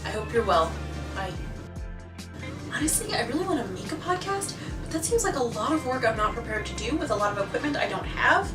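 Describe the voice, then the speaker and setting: An American woman with a fairly high voice presents recommendations like a casual video host. Her delivery is scripted but conversational and enthusiastic. The room is clearly echoey, and music plays behind her. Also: lively intonation, brisk. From United States. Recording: roomy, distant mic, music behind.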